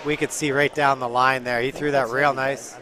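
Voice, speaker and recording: Relaxed, slightly nasal male curling commentator. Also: mid-range voice, normal speed, slight background noise. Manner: relaxed, joking color commentary. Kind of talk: play-by-play commentary.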